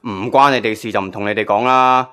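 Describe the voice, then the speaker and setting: A man's voice: lowish voice, steady tone, quick pace, sharp tone, clean tone. A man with a Hong Kong Cantonese accent playing a businesslike magazine chief editor in a radio drama.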